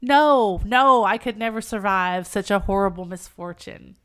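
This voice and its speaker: A woman voicing an anguished, devoted young gentlewoman in a melodramatic, declamatory novel reading. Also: medium pitch, measured pace, clear, resonant tone, pitched below the performer's own voice, lightly acted. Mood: impassioned, pleading. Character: anguished devoted young gentlewoman pleading for her condemned friend.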